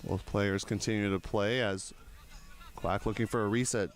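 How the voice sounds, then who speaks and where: A man's voice: on the low side, fairly even intonation, normal speed. Man in his thirties calling a game. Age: 30s. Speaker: sports commentator. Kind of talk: live play-by-play commentary with casual banter.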